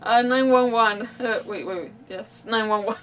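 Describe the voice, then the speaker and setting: Female streamer, higher register, loose unscripted chatter, apologetic and self-mocking. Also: moderate pace, a bit bright, distant mic. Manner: rambling, self-deprecating, apologetic. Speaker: livestream host. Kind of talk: casual live stream chat.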